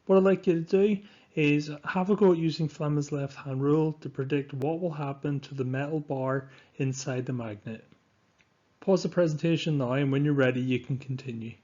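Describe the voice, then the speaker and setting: A man narrating a lesson as a science teacher, speaking in an instructive, deliberate way with emphatic stresses, on a dull recording. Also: fairly high pitch, warm tone. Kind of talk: scripted lesson narration over slides.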